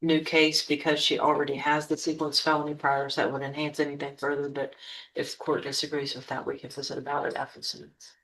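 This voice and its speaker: A woman speaking as a lawyer in a courtroom. She talks quickly with an even, businesslike tone, and the microphone catches her from a distance. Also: low voice. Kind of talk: formal remarks to a judge.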